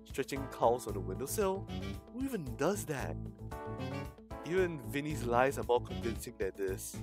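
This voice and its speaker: Animated man streaming a visual novel read-aloud. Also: mid-range voice, normal speed, music in the background.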